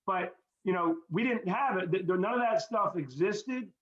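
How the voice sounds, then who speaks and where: A man's voice: sits high, lively intonation, moderate pace. A middle-aged man speaking earnestly and reflectively in a group conversation, often repeating words as he goes. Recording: dull, echoey audio.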